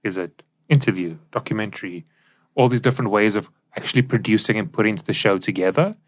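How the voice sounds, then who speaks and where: A man's voice: lowish voice, fast talker. A South African man co-hosting a podcast, explaining things in a casual, informal discussion style on a studio microphone. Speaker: podcast co-host. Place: professional recording studio.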